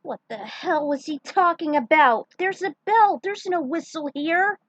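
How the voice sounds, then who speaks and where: A woman acts out an exasperated, sharp-tongued heroine in a story reading. The voice jumps up in pitch, in a dry recording with little room sound. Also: measured pace, dry recording, muffled recording.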